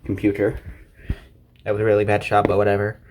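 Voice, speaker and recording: A man's voice: fairly low pitch, monotone, brisk. A man giving a casual, unscripted show-and-tell of his collection, rambling offhandedly with muttered asides to himself. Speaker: collector presenting his radios. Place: indoors, at home by a desk. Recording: clearly noisy amateur recording.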